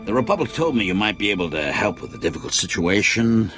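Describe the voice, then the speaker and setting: An actor playing an earnest, serious government official in a sci-fi video game, courteous and brisk. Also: male voice, on the low side, very animated, over music. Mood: urgent. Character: earnest, urgent Republic official. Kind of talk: video game dialogue acting.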